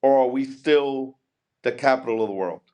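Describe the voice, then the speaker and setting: A man from New City, hosting a conversational podcast interview in a discursive, halting way, often repeating phrases as he builds questions. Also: medium pitch, darkish tone. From New York City, United States.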